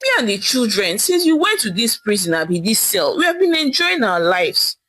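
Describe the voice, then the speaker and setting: A woman's voice: a little high, big pitch swings, normal speed, a bit bright. A woman voicing a carefree wife with a Nigerian accent, nonchalant and teasing. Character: carefree wife enjoying her husband's absence.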